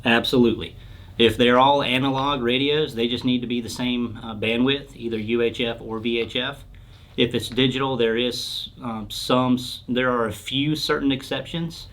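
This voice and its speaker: A man speaks at a middling pitch in an informal interview. He explains things plainly and practically, as a product specialist answering a customer's basic questions. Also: steady tone, moderate pace, fairly bright tone. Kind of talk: casual explanatory interview talk.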